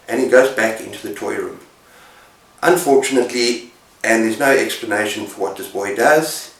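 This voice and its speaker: A man with a fairly low voice retells tales in a casual, emphatic way, pausing for drama. He sounds set back from the microphone in a roomy, echoing space. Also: a bit bright. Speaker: book reviewer on a video channel. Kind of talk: casual story retelling to camera. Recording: roomy echo, distant microphone.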